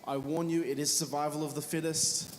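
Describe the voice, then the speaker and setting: A man's voice: on the high side, flat delivery, slight background noise. Australian man preaching in a hall. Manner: speaks in short phrases, pausing for translation. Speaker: evangelist preacher. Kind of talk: sermon delivered through an interpreter. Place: church hall. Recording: reverberant hall with faint music.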